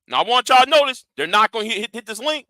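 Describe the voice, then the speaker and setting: A man's voice: very high voice, expressive, fast, pushed and bright, no echo, clean studio sound. A man hosting his own channel, delivering a combative, profane rant and taunting viewers. He often repeats words and restarts phrases. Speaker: channel host. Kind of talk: confrontational livestream rant.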